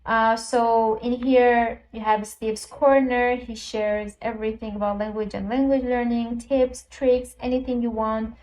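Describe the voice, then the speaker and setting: Chatty woman with a higher voice, native Persian speaker. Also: little pitch movement, unhurried, few pauses, a little mellow, low-quality recording. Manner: chatty, explains step by step with frequent ums and uhs. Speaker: language teacher and YouTuber. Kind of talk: casual screen-recorded app walkthrough.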